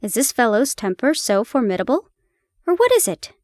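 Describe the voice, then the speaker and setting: Tired army doctor back from war, measured and earnest, played by a woman, high voice. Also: very animated, a little mellow, no echo. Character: weary male army doctor, seeking quiet lodgings.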